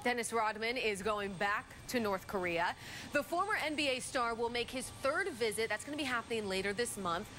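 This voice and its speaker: A woman's voice: fairly high pitch, lively intonation, a little fast, a bit bright, slight background noise. An American woman anchoring morning TV news, reading stories and chatting casually with a co-anchor.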